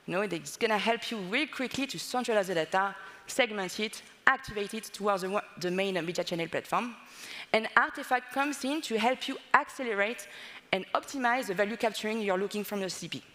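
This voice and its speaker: French-accented woman in her 30s, stage presenter, mid-pitched, boomy hall sound. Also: fairly bright tone. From France. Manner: fluent but slightly non-native phrasing, many "uh" fillers. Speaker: conference speaker. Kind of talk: conference presentation. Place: large conference hall on stage.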